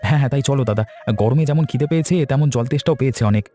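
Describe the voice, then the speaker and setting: A man plays a friendly younger brother from a village farm in a cartoon. He speaks very rapidly at an average pitch, with flute music clearly playing behind him. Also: warm tone, close to an everyday voice. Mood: eager. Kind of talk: cartoon dialogue.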